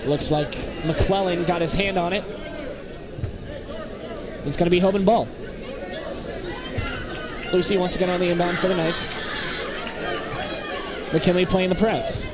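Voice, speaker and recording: Male sports announcer, high register, terse running play calls, heavy arena noise. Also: steady tone, measured pace, pauses often. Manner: clipped, fragmentary play calls. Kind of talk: live basketball radio commentary. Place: indoor basketball gym, up behind a balcony. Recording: loud gym crowd and court noise. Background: crowd, bouncing basketballs.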